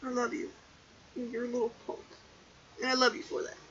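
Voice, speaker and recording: Young female game streamer: goofy casual chatter, bright and sharp, slightly lo-fi bedroom mic. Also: on the high side, completely flat delivery, fairly quick, slight background noise. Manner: playful, goofy, breaks into song. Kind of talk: casual livestream chat.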